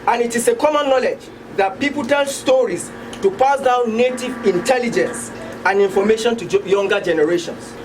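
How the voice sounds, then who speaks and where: A woman with a Nigerian accent giving a scripted introduction as a project presenter. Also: on the high side. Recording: noisy room, distant microphone.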